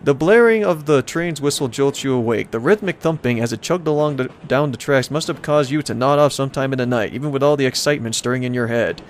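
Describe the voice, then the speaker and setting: A man's voice: medium pitch, fast talker, few pauses, slight background noise. A man hosting a let's play, casually commenting and reading aloud in a playful, rambling way as he reacts to the game.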